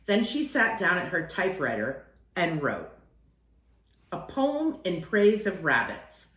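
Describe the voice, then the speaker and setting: A woman's voice: fairly low pitch, very animated, slow pace, fairly bright tone, reverberant, poor audio. A woman reading a children's picture book aloud as a storyteller, acting out phrases and lingering on key words.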